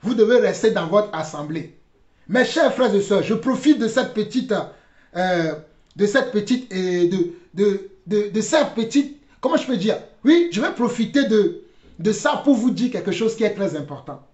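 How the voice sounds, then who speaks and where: A man giving religious commentary in a deliberate, halting way, repeating phrases for emphasis. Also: sits high, lively intonation, darkish tone. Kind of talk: spoken commentary with preaching. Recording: dull, roomy, echoing recording.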